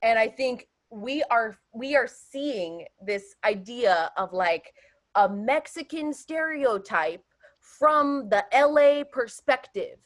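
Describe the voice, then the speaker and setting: A woman's voice: a little high, expressive, takes it slowly. An actress on a panel, explaining her view in an emphatic, conversational way. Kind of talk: casual panel discussion.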